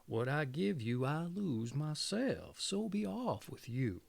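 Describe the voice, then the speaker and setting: A man's voice: fairly high pitch, very animated, slow, vocal fry, dry recording, exaggerated voice. A man voicing a selfish elder brother in a storybook, blunt and dismissive. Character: selfish, prudent elder brothers, curtly refusing.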